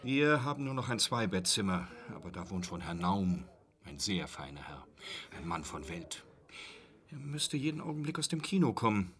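An actor playing a brusque, grumbling and brazenly unbothered village innkeeper in a German radio drama, chatty and glib. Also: male voice, sits low, sing-song, normal speed, a bit bright, exaggerated voice.

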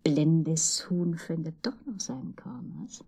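An actress playing a giddy, infatuated, lonely woman in a radio drama, speaking intimately and haltingly in a breathy voice that often sinks to a whisper. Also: sits low, animated, mellow, full character voice. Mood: wistful, pleading. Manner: halting, emotional, swinging between murmur and outburst.

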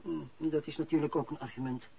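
An actor playing a shrewd, formal senior civil servant in a radio play, speaking in a measured, officious way. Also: male voice, fairly high pitch, fairly even intonation, vocal fry, mellow, husky. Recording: dull, muffled radio play recording.

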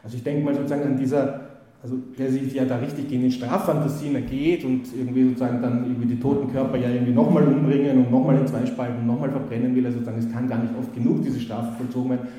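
A man's voice: mid-range voice, flat delivery, rapid-fire, mellow. Guy lecturing, lots of ums and restarts, super echoey room. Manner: hesitant, full of "äh" and restarts, reads quotes aloud. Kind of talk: spoken lecture with many fillers. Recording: very echoey hall.

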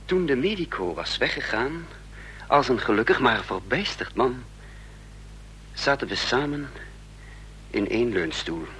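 An actor playing a troubled man in love who tells his own espionage story in a measured, dramatic radio drama style. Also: medium pitch, very animated, a bit bright, poor audio. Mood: relieved, intense. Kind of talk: Dutch radio drama narration, literary first person. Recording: faint noise and hum, old radio recording.

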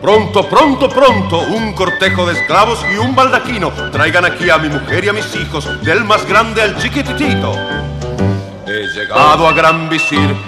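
An actor playing a jubilant new grand vizier in a musical children's story, partly singing. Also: male voice, high-pitched, very animated, normal speed. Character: jubilant fisherman newly made grand vizier. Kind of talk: musical children's storybook acting, part sung. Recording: echoey, loud music behind.

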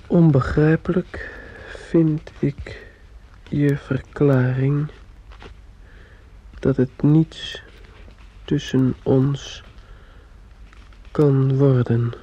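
A man's voice: mid-range voice, steady tone, very slow, pauses often, mellow, slightly rough. A man acting a troubled, withdrawn young male student in a radio drama, on a dull, muffled old recording. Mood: cold, measured.

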